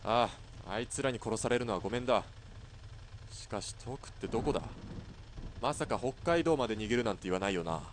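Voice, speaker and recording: A blunt young army sniper, played by a man talks in rough soldier slang with a mid-range voice. Faint noise runs under his lines in this radio play. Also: mid-range voice, expressive, fairly quick, lightly acted. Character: hot-headed young male army sniper.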